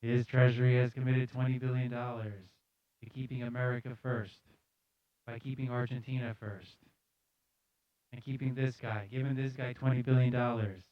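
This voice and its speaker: A man's voice: mid-range voice, level tone, moderate pace, no room sound, lo-fi sound. A man hosting a live news show, casually reading articles aloud with jokey, slangy, sardonic commentary.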